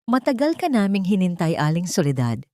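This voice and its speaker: A woman voicing a gentle, respectful male lawyer who speaks calmly and in a measured way in a narrated story. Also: lowish voice, no echo, clean studio sound. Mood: calm, earnest. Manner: calm, measured.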